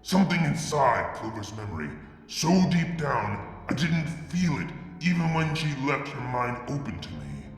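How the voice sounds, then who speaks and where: A man reading fan fiction aloud, narrating in the first person with brooding, deliberate delivery and sudden drops to a hush. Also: mid-range voice, sing-song, faint music behind. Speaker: fanfiction reader. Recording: reverberant room with long echo.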